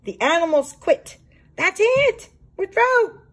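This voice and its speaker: A woman's voice: very high voice, very animated, slow, fairly bright tone, voice pushed up, exaggerated voice. A woman voicing defiant, complaining zoo animals on strike in an exclamatory storybook read-aloud. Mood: defiant, shouting.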